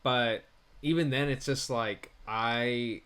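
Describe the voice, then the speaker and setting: A man speaks as co-host of a casual film review chat. His pitch is average for a man. He phrases things loosely and haltingly, with a joking manner. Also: fairly even intonation, a bit bright, smooth.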